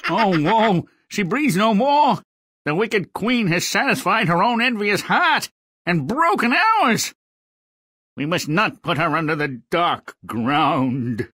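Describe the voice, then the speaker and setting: Comic game dwarf: bossy ringleader, sharp, forceful and blustering. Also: male voice, high voice, animated, takes it slowly, slightly rough, dry recording, studio quality, heavily acted. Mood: distraught. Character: bossy, excitable dwarf leader. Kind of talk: exaggerated fairy-tale game acting.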